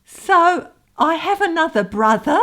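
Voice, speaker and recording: A woman's voice: very high-pitched, very animated, unhurried, bright, forceful tone, heavily acted, rough recording. A woman playing a naive, gushing young daughter in a melodramatic radio soap. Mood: breathless astonishment.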